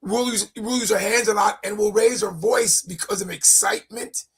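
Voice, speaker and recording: Very husky, gravelly voice asking questions. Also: medium pitch, moderate pace. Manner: probing, asks questions, steers to the topic. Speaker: podcast co-host. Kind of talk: casual faith discussion.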